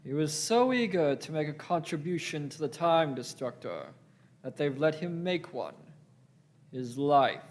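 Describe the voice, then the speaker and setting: A man's voice: a little high, big pitch swings, a little slow. A man playing a scheming, defensive politician in a sci-fi radio play, speaking in emphatic, self-justifying phrases. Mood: smug. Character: scheming, defensive male politician turned desperate ally.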